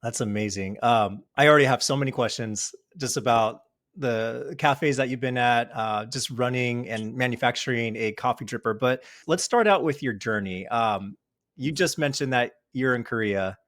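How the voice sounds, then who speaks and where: A man's voice: medium pitch, moderate pace, fairly bright tone. A man hosting a casual interview, upbeat and encouraging, with some "um" fillers. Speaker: podcast host.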